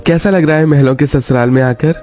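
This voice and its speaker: A man playing an earnest, gentle young rich groom in a cartoon story, speaking in a measured way. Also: on the high side, fairly even intonation, faint music behind, natural voice. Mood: tender. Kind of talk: Hindi cartoon story dialogue.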